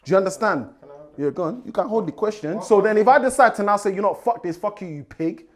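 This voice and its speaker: A young man with a slightly raised voice who talks quickly on a casual panel. He argues persuasively and keeps pressing the listener with questions. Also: high voice, expressive. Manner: assertive, persuasive, keeps saying "do you understand". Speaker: panel co-host.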